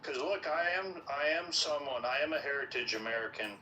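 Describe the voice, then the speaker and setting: A man hosting a discussion, talking haltingly with many restarts. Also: medium pitch, distant mic, heavy background noise. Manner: hesitant, halting. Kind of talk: podcast discussion.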